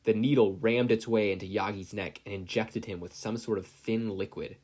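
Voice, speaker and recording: Slightly low-pitched man narrating fiction. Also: fairly even intonation, moderate pace, darkish tone. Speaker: podfic reader. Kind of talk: scripted story narration.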